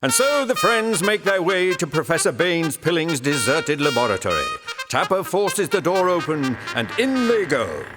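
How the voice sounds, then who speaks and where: High-voiced, fussy theatrical narrator. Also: male voice, sing-song, normal speed, hardly any pauses, music in the background. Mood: grandly dramatic. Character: fussy, put-upon, self-important theatrical narrator. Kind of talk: comic audio drama narration.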